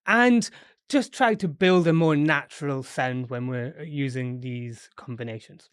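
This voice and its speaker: A man hosting an English pronunciation lesson, modelling sounds slowly and carefully. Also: mid-range voice, smooth, no room sound, clean studio sound. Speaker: English teacher and host. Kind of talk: friendly language lesson.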